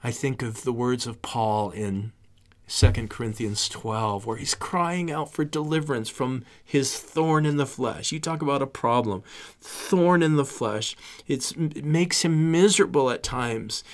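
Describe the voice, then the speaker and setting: A man in his fifties preaching a sermon, reading scripture with rising emphasis and dropping to a hushed tone for prayers, on a desktop studio microphone in a small indoor studio. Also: medium pitch, a little slow. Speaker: pastor. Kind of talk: sermon teaching through a psalm. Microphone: large desktop studio microphone.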